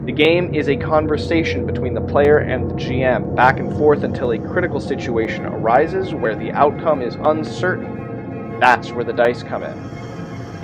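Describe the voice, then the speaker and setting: Male game master, medium-pitched, measured storyteller read of tabletop game material with commentary, clear music bed underneath. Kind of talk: reading aloud scripted game text with commentary. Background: music behind the voice.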